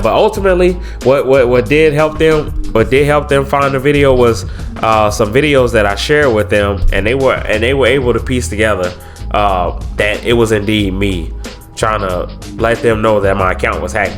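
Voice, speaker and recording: A young man with a congested head cold, talking casually to his viewers with lots of slang, fillers and repeated words. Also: medium pitch. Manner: loose slangy talk, many fillers and repeats. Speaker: YouTuber. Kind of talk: casual vlog talk to camera.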